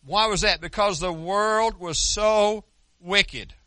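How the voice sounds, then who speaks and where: An older American man preaching with a high, piercing, very bright voice. He speaks earnestly and conversationally, breaking off and restarting sentences. The sound is dry and close, with no room echo. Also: slow pace. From United States. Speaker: pastor. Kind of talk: sermon.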